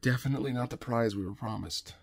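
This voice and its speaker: Reluctant scavenger dad male actor: terse, deadpan, fry in the voice, sci-fi radio play. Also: mid-range voice, fast talker, dry recording, clean studio sound. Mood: flat, sober. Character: gruff, cynical, reluctant male space scavenger, a father.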